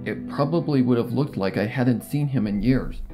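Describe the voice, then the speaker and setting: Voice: mid-range voice, moderate pace, mellow. A narrator reading a story in a dramatic scripted style. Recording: narration over music bed.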